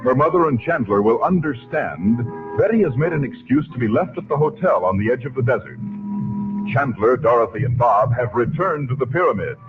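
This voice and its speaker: A man working as an old-time radio announcer, delivering scripted narration and sponsor pitches with theatrical, emphatic phrasing. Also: animated, fairly quick. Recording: tinny old radio recording with music. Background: loud music.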